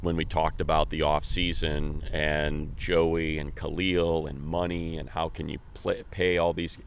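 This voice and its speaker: Male sports announcer, low register, casual and rambling conversational delivery, slight background noise. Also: level tone, unhurried, creaky. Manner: colloquial, rambling, thinking aloud. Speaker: sports co-host and play-by-play announcer.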